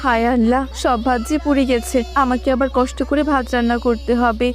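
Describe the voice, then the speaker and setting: Woman voicing a high-voiced, plaintive eldest daughter-in-law. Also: steady tone, fairly quick, over music, close to an everyday voice. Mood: dismayed, regretful. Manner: plaintive, fretting. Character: dutiful, overworked eldest daughter-in-law, resigned and fretful. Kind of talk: Bengali family cartoon voice acting.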